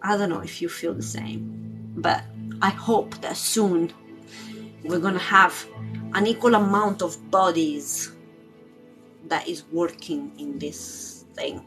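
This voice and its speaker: A woman's voice: lowish voice, takes it slowly, long pauses, a bit bright, rough recording. Informal woman chatting over music. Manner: informal, giggly, rambling. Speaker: livestream tarot reader and spiritual coach. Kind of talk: casual live spiritual chat. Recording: music playing behind the voice.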